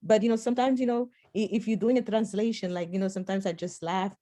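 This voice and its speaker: A woman who grew up in Portugal and Spain, Portuguese her first tongue, talking on a video call. Her voice is mellow and warm, with a pitch in the middle range. She laughs through her story in an animated way. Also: quick pace. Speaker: political science professor. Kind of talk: workshop discussion over video call.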